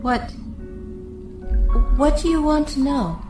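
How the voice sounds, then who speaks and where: A woman's voice: high voice, lively intonation, slow pace. An actress playing a guarded, heartbroken female prisoner in an audio drama, aloof and fatalistic, speaking with dramatic emphasis. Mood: dry, guarded. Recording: roomy echo with music underneath.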